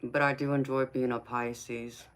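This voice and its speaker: Woman in her forties, tarot reader, relaxed and slow-spoken, chatting back to viewer comments. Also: rumbling low, low-quality recording. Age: 40s. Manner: easygoing, answers viewer comments by name. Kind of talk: casual live-stream chat with viewers.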